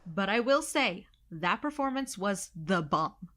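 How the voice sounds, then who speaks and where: A woman's voice: mid-range voice, expressive, unhurried, sharp tone. A young woman with an American accent playing a cocky, irreverent best friend who teases playfully in an audio drama. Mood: playful teasing. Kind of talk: naturalistic audio drama acting.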